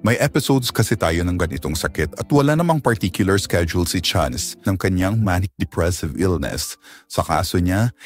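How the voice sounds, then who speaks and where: A man playing a calm physician in a Filipino audio drama, explaining gravely in a clinical, measured way over music. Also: deep voice, no echo. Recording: music bed under the voice.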